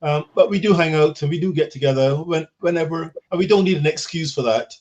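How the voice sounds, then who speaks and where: A middle-aged man from Barbados, answering conversationally on a video call in a halting, repetitive way. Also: a little high, darkish tone, slight background noise. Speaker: diaspora guest living in England. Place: small office, on a video call. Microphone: video call, device in front.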